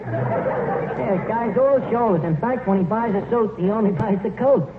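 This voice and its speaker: A man's voice: high-pitched, expressive, moderate pace, phone-quality sound, crowd noise. A man acting a streetwise, wisecracking tavern manager in a 1940s radio situation comedy. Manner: sardonic, colloquial wisecracking. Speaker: comedy show lead playing tavern manager. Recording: noisy, echoey old radio broadcast recording.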